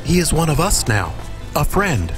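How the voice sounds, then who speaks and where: A man's voice: a little high, very animated, normal speed, over music. A man voicing an innocent, loyal AI companion in a video game, speaking politely and naively. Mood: earnest.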